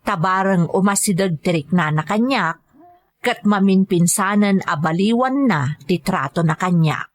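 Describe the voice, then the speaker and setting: A woman's voice: fairly low pitch, lively intonation, measured pace. A woman with a Filipino accent playing a patient, dutiful young caregiver working abroad, in earnest, polite scripted drama. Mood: reflective narration. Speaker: voice actor in an audio drama. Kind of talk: scripted drama dialogue and narration.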